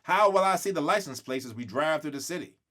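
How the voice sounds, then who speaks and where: A man's voice: a little high, lively intonation, fast talker, sharp tone. A man voicing an indignant, worried young girl in a children's storybook, with pouty, emphatic questioning.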